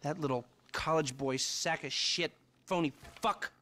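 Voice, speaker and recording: An actor playing a sardonic middle-aged hacker who rants in quick, acerbic bursts in a game cutscene. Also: male voice, a little high, sharp tone. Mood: ranting. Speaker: game character.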